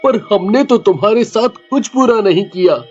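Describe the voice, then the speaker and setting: A man voicing a young man in a cartoon, talking in a deliberate, persuasive way on a dull recording. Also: high-pitched, expressive, a little mellow. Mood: coaxing. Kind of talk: cartoon dialogue acting.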